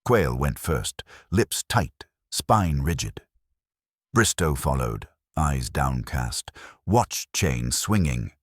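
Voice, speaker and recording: A man reading a first-person detective story in a deliberate, dramatic, hardboiled storytelling manner. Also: extremely low pitch, very animated, dry recording, clean studio sound. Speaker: audiobook narrator.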